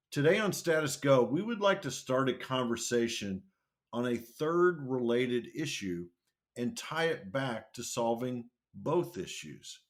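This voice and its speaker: An enthusiastic man hosts an interview podcast, his voice rising and falling in a lively, animated way. He opens with a scripted introduction and then moves into relaxed questions for his guests. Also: mid-range voice. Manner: upbeat, enthusiastic, lively delivery. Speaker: podcast host. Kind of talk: hosted interview.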